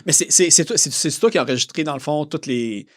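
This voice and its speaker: A man's voice: on the high side, fast, no room sound, studio quality. A man with a Quebec French accent hosting a casual podcast interview, chatty and eager, often talking over his guest. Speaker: podcast host.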